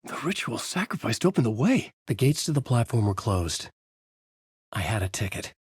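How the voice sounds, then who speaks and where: A man playing a brooding, haunted novelist in a video game, narrating his horror story in a grave, measured, dramatic way with a husky voice. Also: lowish voice, sing-song, no echo, studio quality, heavily acted. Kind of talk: scripted noir horror narration, inner monologue.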